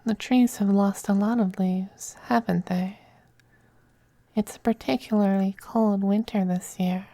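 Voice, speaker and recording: A silky femboy vampire acted by a man murmurs in a slow, mellow, high and feminine-leaning voice for an ASMR roleplay. He is possessive and teasing, slipping into soft whispers with languid condescension, very close and dry. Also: steady tone, creaky, no echo. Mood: wistful.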